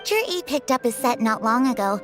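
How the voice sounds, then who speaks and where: A woman's voice: on the high side, lively intonation, brisk, over music, lightly acted. An actress playing a polite, businesslike antiques saleswoman in a video game. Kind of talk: video game character acting.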